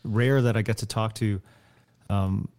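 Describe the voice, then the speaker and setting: Guy hosting an interview, warm low voice, friendly and jokey. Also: steady tone, a little slow. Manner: friendly, joking questions. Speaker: podcast host. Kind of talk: casual interview chat.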